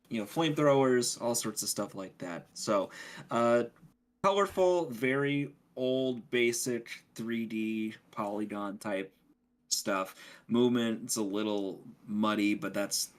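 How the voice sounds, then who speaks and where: A man's voice: fairly low pitch, measured pace. A man talking casually as a podcast co-host, explaining things in a rambling way. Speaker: podcast co-host reviewing video games.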